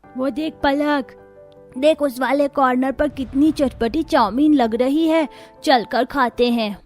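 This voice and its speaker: Woman voicing an excited high-pitched greedy cartoon kid. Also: slow, faint music behind. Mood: excited, craving. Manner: gushing, exclaiming over food. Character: greedy excited child craving spicy food. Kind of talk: Hindi cartoon story dialogue.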